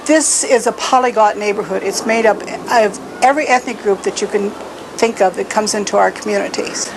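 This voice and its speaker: Creaky-voiced, articulate woman. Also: medium pitch, fairly quick. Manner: articulate, appreciative. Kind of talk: composed interview statement. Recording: noisy field recording.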